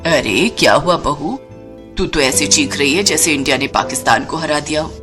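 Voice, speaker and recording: Storybook mother-in-law, played by a woman: older, low-pitched, bossy, penny-pinching, scolding, music underneath. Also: normal speed, fairly bright tone. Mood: scornful. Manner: scolding, demanding.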